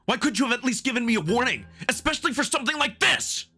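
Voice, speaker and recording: A man's voice: very high-pitched, animated, fast, pushed and bright, husky, faint music behind, voice pushed up, exaggerated voice. A man playing a hurt, stubborn human in a visual novel drama, blunt and confrontational in his delivery. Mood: angry, hurt.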